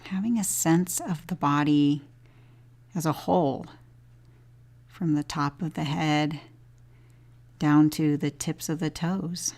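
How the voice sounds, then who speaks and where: A woman with a slightly low voice guides a body scan meditation. She speaks very slowly and gently, leaving long pauses between phrases as she gives each instruction.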